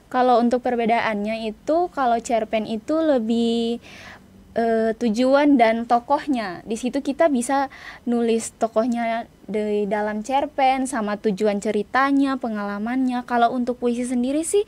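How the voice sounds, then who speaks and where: Young adult female interview guest, slightly high voice, informal, hesitant phrasing. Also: steady tone, a little slow, darkish tone. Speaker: interview guest, student writer. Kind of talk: casual interview chat.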